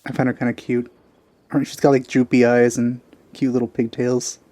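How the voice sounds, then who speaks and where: A man giving casual let's-play commentary, musing aloud in a halting, informal way. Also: medium pitch, level tone, dark tone, dry recording. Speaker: gaming video host.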